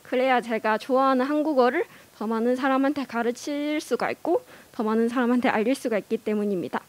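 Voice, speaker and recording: A woman's voice: on the high side, fast. A young woman giving a prepared speech in a learned language, careful and earnest, with small hesitations. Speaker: speech contestant.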